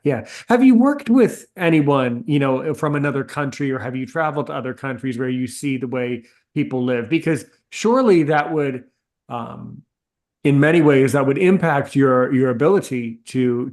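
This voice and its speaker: A man's voice: mid-range voice, normal speed, a little mellow. A man hosting a casual interview, talking in a rambling way and repeating phrases and fillers. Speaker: interview host.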